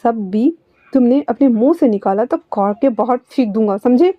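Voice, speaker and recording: A woman's voice: on the high side, expressive, normal speed, no echo, narrow, tinny audio. A woman voicing a stern, irritated young husband who scolds curtly in a storybook reading. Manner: curt, scolding.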